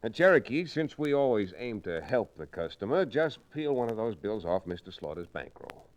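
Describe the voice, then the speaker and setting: A man's voice: on the low side, moderate pace, a little hiss or hum. A man playing a cool, wry frontier hero with a folksy drawl in an old-time Western radio drama. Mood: wry, taunting. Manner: calm, dryly taunting, folksy.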